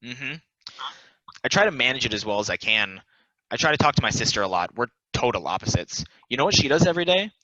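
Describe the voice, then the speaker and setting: A philosophical, self-deprecating immortal ballplayer acted by a man in a casual play reading. The voice is mid-pitched and bright, with a rambling, confiding delivery. The recording is dry and slightly dull. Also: big pitch swings, fast, no room sound. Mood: confiding, reflective. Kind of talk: casual table read of a stage play.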